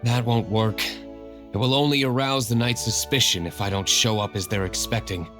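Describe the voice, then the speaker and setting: A man's voice: medium pitch, moderate pace, lightly acted. An actor playing an aloof, brooding young nobleman in a video game, speaking in a reserved, formal and terse way. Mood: guarded. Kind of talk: video game character acting. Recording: game music behind the voice.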